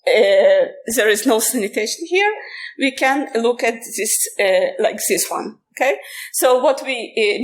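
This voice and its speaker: A woman with a fairly high voice presents a technical talk at a conference. Her delivery is informal and explanatory, broken up by frequent hesitations and filler sounds as she works through each step. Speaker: conference speaker.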